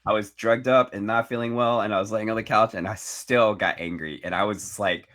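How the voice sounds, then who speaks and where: A man co-hosting a chatty podcast, hoarse from being sick, with a deep baritone voice and a rambling, animated way of telling stories. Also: a little fast. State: hoarse from illness. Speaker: podcast co-host. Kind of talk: casual chat. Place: Miami.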